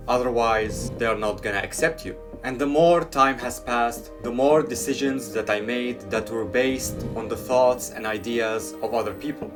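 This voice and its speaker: A man in his twenties from Syria talks in a calm, earnest, measured way at a mid pitch, like a scripted reflection. Clear guitar music plays steadily under his voice-over. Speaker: video essayist.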